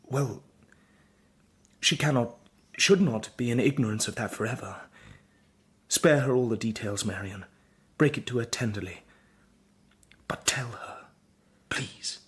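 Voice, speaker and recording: An actor playing an earnest, determined young drawing master in an audio drama, speaking in a measured, formal, husky way. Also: male voice, mid-range voice. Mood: grave resolve. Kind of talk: audio drama acting and narration.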